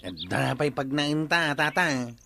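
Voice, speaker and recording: A man's voice: fairly high pitch, a little slow, gravelly, background noise. A man with an Ilocano accent playing a joking, grumbling village man in a radio comedy drama.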